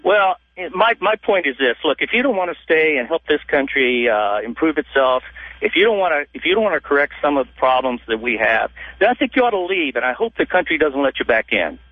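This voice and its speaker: A man's voice: a little high, big pitch swings, brisk, dry recording. A man calling in to a radio show, making his point firmly and bluntly. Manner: firm, blunt. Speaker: caller. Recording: tinny phone line.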